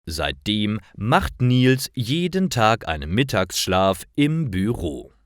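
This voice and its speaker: A man reading scripted short stories for language learners in a deliberate, carefully articulated and expressive way. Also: on the low side, dry recording, studio quality. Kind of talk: scripted narration, slow storytelling for language learners.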